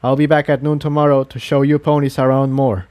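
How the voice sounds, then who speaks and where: A man's voice: mid-range voice, little pitch movement, brisk, darkish tone, smooth, natural voice. A man voicing a devout, courteous pegasus townsman who explains things earnestly and formally in a fantasy audiobook. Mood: informative. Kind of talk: acted dialogue in fan fiction audiobook.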